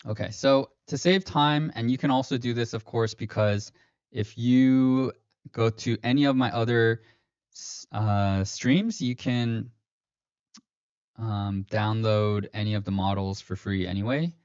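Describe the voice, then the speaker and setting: A man's voice: mid-range voice, steady tone, unhurried, darkish tone, clear, resonant tone, no room sound. Man, livestream host, casual rambling talk, fillers, dry dull audio. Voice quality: slightly robotic-sounding stream voice. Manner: rambling, self-correcting, many fillers. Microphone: streaming mic.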